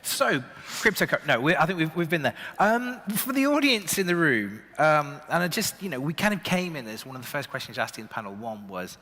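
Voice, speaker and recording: Bright-voiced male panel moderator. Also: on the high side, sing-song, a little fast, few pauses. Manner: questions with restarts and fillers. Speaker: event moderator. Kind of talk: on-stage panel interview questions. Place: event stage in a large hall. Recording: long hall reverb.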